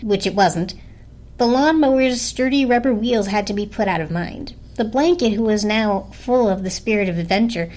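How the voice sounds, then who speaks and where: A woman's voice: medium pitch, moderate pace, a little mellow. A woman narrating a children's story as a dramatic storybook reading. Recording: music behind the voice. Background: music.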